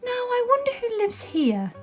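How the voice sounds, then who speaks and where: A woman's voice: very high-pitched, huge pitch swings, moderate pace, voice pushed up, heavily acted. A British woman voicing a curious, polite young girl in a storybook, wondering and exclaiming to herself. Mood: puzzled, eager.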